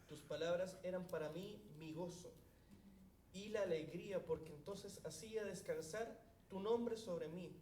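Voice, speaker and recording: Male congregant, high mellow voice, steady scripture reading, distant and echoey. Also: unhurried, a little hiss or hum. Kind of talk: reading a Bible passage aloud. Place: church hall. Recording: distant voice in echoey church hall.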